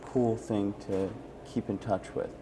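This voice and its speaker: A man's voice: on the low side, steady tone, slow, warm tone, slight background noise, poor audio. A man hosting a friendly conversational interview in an encouraging, affirming manner. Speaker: interviewer and host.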